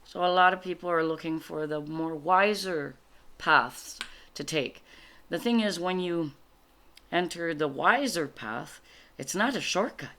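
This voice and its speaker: Low-voiced woman, native French speaker, halting delivery. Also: fairly bright tone. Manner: halting, reflective, explains in short phrases. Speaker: spiritual card reader. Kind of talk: informal spiritual guidance, reading cards aloud and commenting.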